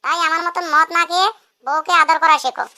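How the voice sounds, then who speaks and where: A performer voicing a grumbling, pestering drunkard husband in a comic cartoon, whining through a raised-pitch effect. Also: fairly even intonation, fairly quick, noisy background. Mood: resigned.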